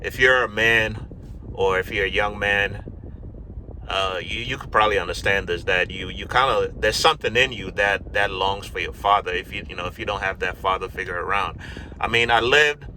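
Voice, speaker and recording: A middle-aged man from Ghana, telling his life story to camera in a relaxed, rambling way, full of fillers and restarts. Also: on the low side, moderate pace, a little hiss or hum, rough recording. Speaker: YouTube vlogger. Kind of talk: casual personal storytelling to camera.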